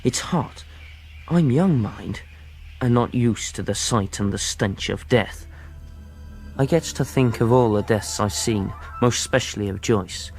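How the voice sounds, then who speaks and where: An actor playing a frightened, earnest lad with a husky voice, narrating his adventure in a radio drama. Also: medium pitch, unhurried, darkish tone, faint music behind, lightly acted. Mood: shaken, recounting.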